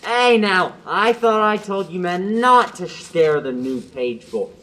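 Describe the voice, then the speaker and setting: A bossy guard captain played by a student bellows slowly and deliberately in a bright voice. He calls out commands in a broad, radio-play style. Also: mid-range voice, expressive. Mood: mock-stern. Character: loud gruff castle guard captain.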